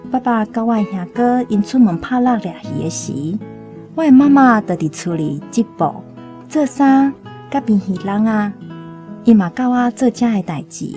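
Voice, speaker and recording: A woman's voice: a little high, expressive, normal speed, mellow, soft background music, close to an everyday voice. A woman with a Taiwanese accent playing a sorrowful young chieftain's daughter in a radio drama. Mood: wistful. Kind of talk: first-person radio drama monologue.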